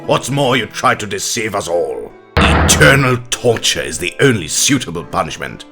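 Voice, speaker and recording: A man's voice: fairly low pitch, very animated, moderate pace, music in the background. A man playing a merciless, scornful official of a spirit court in an audio drama, declaiming his lines. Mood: wrathful.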